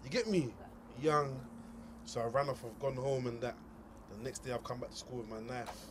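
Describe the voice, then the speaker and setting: A man's voice: mid-range voice, heavy background noise. A man, telling stories animatedly in slang. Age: 20s. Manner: quick, animated slang storytelling. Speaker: guest. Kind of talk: storytelling in casual chat.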